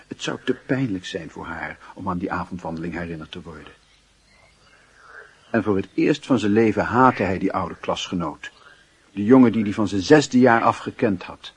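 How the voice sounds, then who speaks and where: Casting: solemn literary narrator for radio drama, very low male voice, introspective and dramatic. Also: moderate pace, breathy edge, exaggerated voice. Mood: brooding. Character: solemn storyteller of a lovesick youth's inner life.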